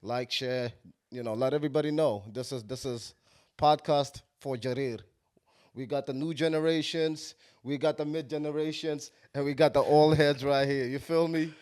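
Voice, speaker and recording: A man's voice: a little high, steady tone, moderate pace, a little mellow, clear, resonant tone. A thirty-year-old man hosting a podcast, bantering casually in loose, profane slang. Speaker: podcast host.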